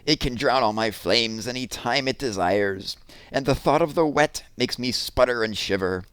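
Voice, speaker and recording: Nervous storybook fire, played by a male reader, raised pitch, emphatic rhyming delivery. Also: normal speed, fairly bright tone, smooth, no echo, lightly acted. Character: nervous sputtering fire afraid of water.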